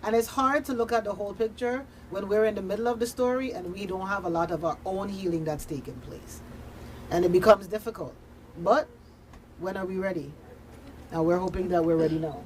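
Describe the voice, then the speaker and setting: A woman's voice: mid-range voice, a little fast, darkish tone, poor audio. Female studio guest, faint background noise. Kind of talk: casual discussion.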